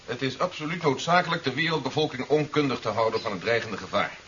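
Fast-talking general played by a man, dull old recording. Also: mid-range voice, a bit bright, lightly acted. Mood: firm, businesslike. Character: brisk, authoritative male general planning a secret defence operation. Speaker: radio drama actor. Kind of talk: 1970s Dutch radio play acting. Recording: dull, hissy 1970s radio recording.

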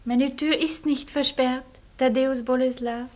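High-voiced, coquettish French lady. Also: fairly even intonation, unhurried, darkish tone. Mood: reproachful, romantic. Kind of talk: German radio play acting.

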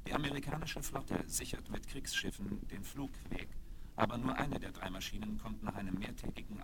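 Measured male radio-play narrator. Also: mid-range voice, very noisy. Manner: measured, factual storytelling. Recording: vintage vinyl record.

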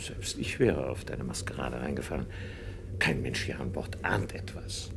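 A man's voice: extremely low pitch, moderate pace, creaky. An actor playing a cold, cunning fugitive man with a curt, commanding manner in a vintage radio crime drama. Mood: stern, hushed urgency. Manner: curt, commanding, reassuring then scolding. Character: cold, cunning fugitive murderer doctor, bossy lover. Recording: noisy old radio play recording.

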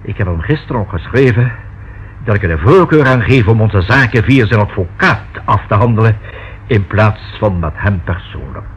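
A formal, expressive notary. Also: male voice, lowish voice, slightly rough. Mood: stiffly displeased. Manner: formal, measured, precisely worded. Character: formal, discreet male notary, precise and proper. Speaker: actor in a radio play. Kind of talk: Dutch radio drama acting. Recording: dull, faintly noisy old radio recording.

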